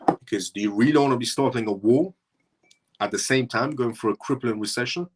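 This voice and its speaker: A man's voice: medium pitch, brisk, slight background noise. A man originally from Iran, hosting a live show and talking through his points in a rambling way that circles back on itself. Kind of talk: casual livestream commentary.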